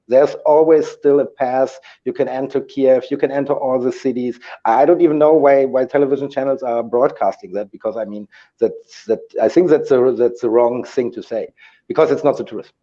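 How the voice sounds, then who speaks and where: A man answering interview questions over a video call, speaking haltingly and restarting his words often. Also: medium pitch, mellow, highs cut off. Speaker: guest, aid organizer.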